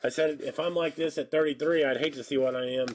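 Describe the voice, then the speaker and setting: A man in his thirties with a stuffy nose and slightly hoarse voice, chatting casually and joking while he hosts a live card break. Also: a little high, steady tone, quick pace. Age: 30s. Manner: rambling, joking, calls out card pulls. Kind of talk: casual live-stream chat while opening cards.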